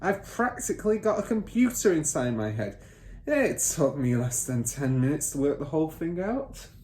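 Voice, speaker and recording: A brash, bullying father from a children's story, as a male reader puts him on. He is loud and boastful, with pompous emphasis and big swings in pitch. The recording has a roomy echo and faint noise behind it. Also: very animated, normal speed. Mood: pompous, bossy.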